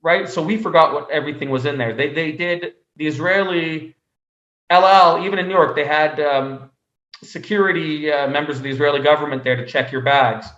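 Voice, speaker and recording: A man from Canada speaking as an interview guest, telling personal stories conversationally in a halting way, often repeating words. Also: fairly high pitch, fairly bright tone. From Canada (Montreal). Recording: roomy echo, slightly dull sound.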